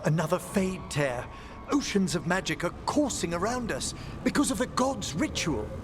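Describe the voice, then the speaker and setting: An actor playing a courteous, cautious scholarly mage in a video game, formal and refined. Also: male voice, high-pitched, animated, moderate pace, over music. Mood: polite worry. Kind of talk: video game drama acting.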